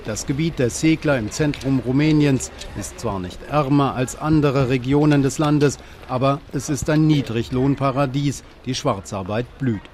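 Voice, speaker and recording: A man reading a scripted news report as a documentary voice-over, in an even, measured way. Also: mid-range voice, a little hiss or hum.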